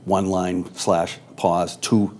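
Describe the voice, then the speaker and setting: A man co-hosting a podcast, chatting casually and asking curious questions with quick asides. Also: low-pitched, level tone, a little mellow, slight background noise. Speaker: podcast co-host. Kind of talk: casual conversation.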